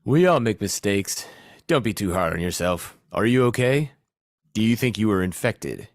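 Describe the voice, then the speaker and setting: A man's voice: fairly low pitch, animated, moderate pace, dry recording, clean studio sound. A man playing a dim, easily offended, hapless rescuer in a comic audio play, hesitant and exasperated. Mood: reassuring.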